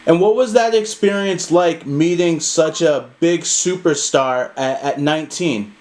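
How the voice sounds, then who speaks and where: A man hosting an interview, asking his guest questions in a polite, measured way. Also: fairly high pitch, a little mellow. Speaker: interview host.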